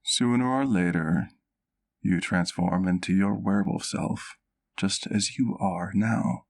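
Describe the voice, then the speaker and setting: Man playing a deep, slow, creaky-voiced vampire doctor. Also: full character voice. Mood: thoughtful. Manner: formal, precise, measured wording. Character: courtly, doting male vampire doctor, ageless and curious. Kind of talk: ASMR roleplay, speaking intimately to the listener.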